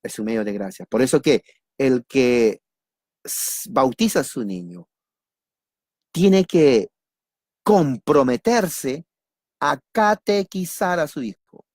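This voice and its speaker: Peruvian preacher with a dry, close sound. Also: male voice, mid-range voice, slow pace, long pauses, dry recording. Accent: Peruvian Spanish. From Peru. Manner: repeats key words, asks and answers his own questions; lively, jokes with listeners. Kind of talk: teaching sermon on doctrine.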